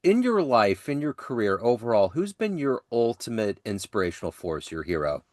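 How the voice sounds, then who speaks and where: A man hosting an interview podcast, asking questions in a lively, encouraging way. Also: medium pitch, moderate pace, dry recording, clean studio sound. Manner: lively, affirming with frequent "yeah". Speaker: podcast host.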